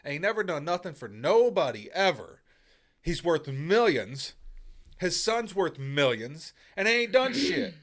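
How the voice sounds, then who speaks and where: A man's voice: on the high side, big pitch swings, measured pace, a bit bright. A man co-hosting a casual live-stream chat, swearing freely, joking around and ranting heatedly. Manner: profane, joking, heated rants. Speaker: co-host.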